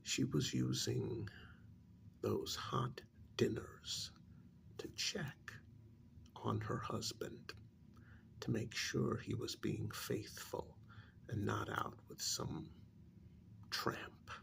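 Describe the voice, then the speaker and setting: A man playing a calm, probing psychiatrist in an ASMR role-play, speaking softly and breathily with measured pauses. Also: lowish voice, exaggerated voice. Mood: confiding, measured. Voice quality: breathy, half-whispered soft speech. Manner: slow, deliberate, measured with pauses. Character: calm, probing male psychiatrist counseling a supernatural couple.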